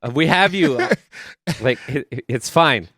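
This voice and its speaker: A man's voice: medium pitch, animated, measured pace, a bit bright, no room sound. A man co-hosting a podcast in casual, conversational chat. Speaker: podcast co-host.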